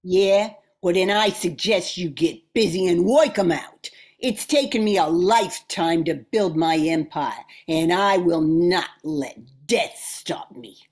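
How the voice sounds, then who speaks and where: A ruthless female mob boss in her fifties, played by a woman for a radio drama. She speaks slowly in a fairly low voice, with a calculating, commanding menace. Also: lively intonation, darkish tone. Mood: threatening. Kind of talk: amateur radio drama acting.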